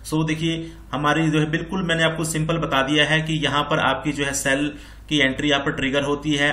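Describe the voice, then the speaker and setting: A man's voice: a little high, bright, forceful tone, echoey room. A man giving an informal tutorial as a trading instructor, explaining repetitively and mixing in English terms. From India. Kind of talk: informal screen-share tutorial on chart patterns.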